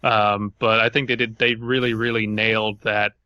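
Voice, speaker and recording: A man's voice: fairly low pitch, flat delivery, moderate pace, pushed and bright, clear, resonant tone, no echo, highs cut off. A man co-hosting a podcast, chatting casually about the video games he follows. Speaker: podcast co-host.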